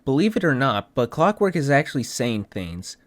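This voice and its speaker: A man's voice: medium pitch, sing-song, normal speed. A man giving a casual commentary on voice work for a video game, speaking as the developer and voice actor.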